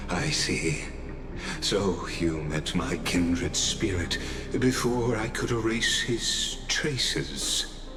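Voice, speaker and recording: Sly solemn game mystic, deep swooping voice, grandiose, softly mocking, music behind. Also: male voice, echoey room. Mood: solemn, smug. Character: sly solemn male mystic official dropping his masquerade. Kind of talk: dramatic video game voice acting. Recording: loud music under the voice.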